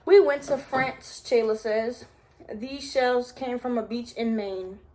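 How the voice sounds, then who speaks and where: High-pitched woman reading a picture book aloud. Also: takes it slowly, mellow, low-quality recording. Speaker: mother reading aloud to her toddler. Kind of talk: picture-book read-aloud with casual asides to a child.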